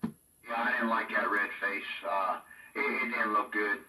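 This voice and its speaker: A man's voice: lowish voice, steady tone, measured pace, sharp tone, recorded from a distance, faint music behind. A man chatting casually about radio gear in a folksy, colloquial drawl. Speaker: CB radio hobbyist. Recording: echo-effected, roomy sound from off mic.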